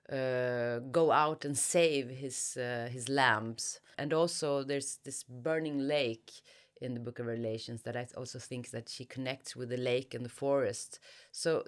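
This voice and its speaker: Low-pitched, slow-speaking woman in thoughtful interview. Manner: hesitant, searching for words, reflective. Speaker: guest author being interviewed.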